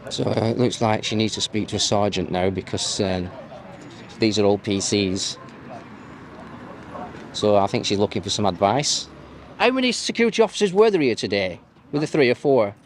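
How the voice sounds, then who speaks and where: A man giving running commentary as he films a police encounter out on a street, quick and teasing in manner. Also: lowish voice, lively intonation, slight background noise. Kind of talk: live running commentary while filming. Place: outdoors on a busy street.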